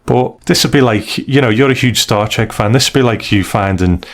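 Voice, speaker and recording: A man's voice: medium pitch, brisk. A man co-hosting a podcast, chatting casually and conversationally as he reviews stories, with frequent asides. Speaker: podcast co-host.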